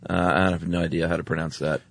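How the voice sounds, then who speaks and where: Low-voiced droll male podcast co-host. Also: level tone, fast, fairly bright tone. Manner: droll, offhand. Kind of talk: casual two-person chat, retelling stories.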